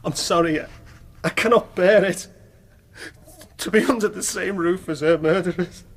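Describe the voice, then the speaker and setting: A grief-stricken widower in a period radio play. His voice is husky and pitched high for a man, distraught and anguished. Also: moderate pace. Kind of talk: period courtroom radio drama.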